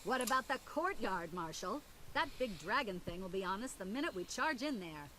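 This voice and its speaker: Brash, tough female game soldier, confident, mid pitch, faint hiss. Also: lively intonation, moderate pace, sharp tone.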